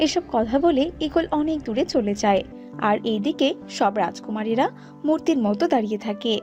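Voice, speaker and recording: High-pitched woman narrating a story. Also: lively intonation, brisk, a little mellow, lo-fi sound, soft background music. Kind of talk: scripted cartoon story narration.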